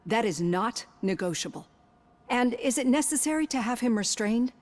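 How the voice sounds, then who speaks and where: An actress playing a composed, professional female psychologist in a video game, measured, tactful and polite but firm. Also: fairly high pitch, animated, natural voice. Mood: indignant, firm. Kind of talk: video game cutscene acting.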